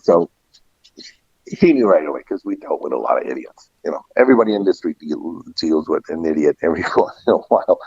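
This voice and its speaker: Middle-aged male, low register, reflective anecdotal storyteller in an informal interview; dull, roomy sound. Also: expressive, fast, a little mellow, slightly rough. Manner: reflective, anecdotal, quoting past conversations. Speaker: restaurant and catering veteran being interviewed. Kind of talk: personal storytelling in a casual interview. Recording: muffled, echoey room recording.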